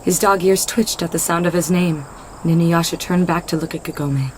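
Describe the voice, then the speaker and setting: A woman's voice: lowish voice, fairly even intonation, brisk, a little hiss or hum, poor audio. A woman narrator reading a scripted story in the third person.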